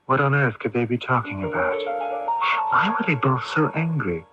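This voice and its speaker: Brooding young man played by a male actor, anxious and expressive, low register, for muffled vintage AM radio drama. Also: normal speed, natural voice. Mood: brooding, puzzled. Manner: brooding and questioning, stammering under stress. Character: troubled, anxious young son recounting his story. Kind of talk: radio drama acting with first-person narration. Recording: muffled early-1980s AM radio broadcast, music behind.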